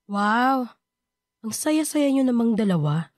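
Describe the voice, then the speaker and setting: An actress playing a harsh, mocking mother in a Filipino radio drama, with a sharp, nagging delivery. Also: on the high side, big pitch swings, slow, dry recording, studio quality. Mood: cold. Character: harsh, scornful mother with a gambling habit.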